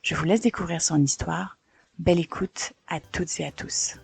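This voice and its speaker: A podcast host reading a scripted introduction in a measured, even way. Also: medium pitch, gravelly, husky, dry recording. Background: soft guitar music.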